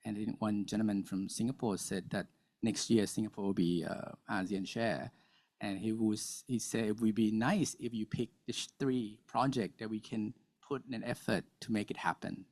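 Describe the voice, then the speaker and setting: Panelist man, lowish voice, casual and hesitant. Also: steady tone, normal speed, darkish tone. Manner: informal, chatty, hesitant phrasing. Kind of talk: panel discussion.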